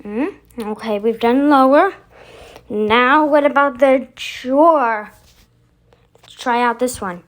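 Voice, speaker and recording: A woman with a high voice chats casually as a video host. She speaks slowly and haltingly, thinking out loud as she introduces each step. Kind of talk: casual chat to camera, demonstrating voice effects.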